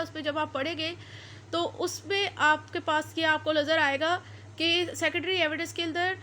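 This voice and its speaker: High-pitched Pakistani woman lecturing. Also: fairly bright tone, a little hiss or hum. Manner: repeats and rephrases points to explain. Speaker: law lecturer. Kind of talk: explanatory lecture mixing Urdu and English.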